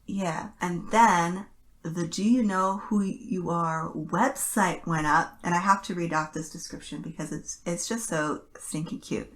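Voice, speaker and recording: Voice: mid-range voice, a little slow, a bit bright. A podcast host chatting casually and enthusiastically, in a gushing fan style.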